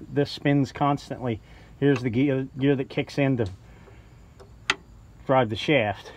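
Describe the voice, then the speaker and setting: A man's voice: medium pitch, a little mellow, lo-fi sound, slight background noise. A man casually explaining, step by step, how he fits a part onto a truck while he works outdoors, recorded on a handheld phone. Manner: plain-spoken, explaining step by step. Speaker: truck mechanic. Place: outdoors beside a truck, cloudy day.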